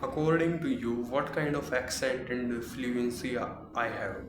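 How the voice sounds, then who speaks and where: Haryanvi Indian man, English learner, hesitant, echoey room, clear background noise. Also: mid-range voice, measured pace. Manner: hesitant, searching for words. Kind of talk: practice conversation.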